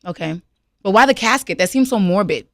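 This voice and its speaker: A woman's voice: mid-range voice, animated, fairly quick, fairly bright tone, no echo. Female interviewer, informal and curious, conversational questions and reactions, recorded close and dry.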